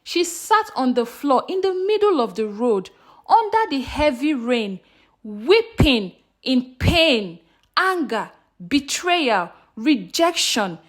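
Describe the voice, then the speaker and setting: Very high-pitched, animated woman storyteller. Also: slow. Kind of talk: dramatic scripted folktale narration.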